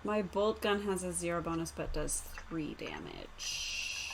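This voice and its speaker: A woman's voice: fairly low pitch, wildly swooping pitch, measured pace, creaky. A woman playing a tabletop roleplaying game, talking casually with the group. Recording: faint background noise.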